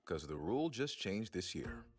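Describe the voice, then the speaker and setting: A man voicing a flippant, exasperated high school English teacher in an anime fan dub, casual and wry. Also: big pitch swings, normal speed, breathy edge, dry recording, clean studio sound, heavily acted.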